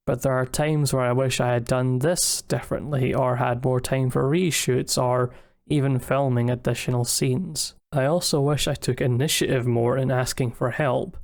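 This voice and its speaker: A young man narrates in a mid-range voice with very little rise and fall. His delivery is measured and candid, like a scripted look back on his own experience. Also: medium pitch, creaky, a little mellow. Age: young adult. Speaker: student filmmaker narrating own video. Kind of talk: scripted retrospective voice-over narration.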